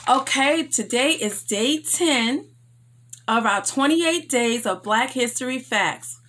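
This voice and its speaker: Female children's story host, higher-pitched, expressive and emphatic, unhurried delivery. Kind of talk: read-aloud storytelling for children.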